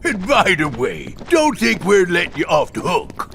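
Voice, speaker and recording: A man voicing a big, oafish cartoon villain in a video game, gravelly, brash and slangy. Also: sits high, very animated, a little slow, a bit bright, slight background noise, full character voice. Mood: mocking, boastful.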